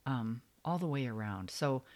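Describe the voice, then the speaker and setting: A woman's voice: a bass voice, animated, normal speed, over a noisy crowd. A woman co-hosting a podcast, chatting casually in an effusive, agreeable way. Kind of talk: casual conversational chat.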